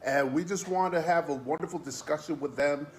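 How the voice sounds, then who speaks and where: A man's voice: a little high, fairly quick, a little hiss or hum. Enthusiastic man hosting an interview. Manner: enthusiastic, effusive praise. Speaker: interviewer host. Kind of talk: online interview.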